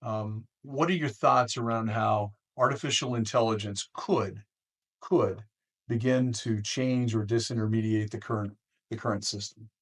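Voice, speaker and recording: An American man hosting an interview in a conversational, careful way, with deliberate and somewhat halting phrasing. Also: on the low side, steady tone. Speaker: interview host.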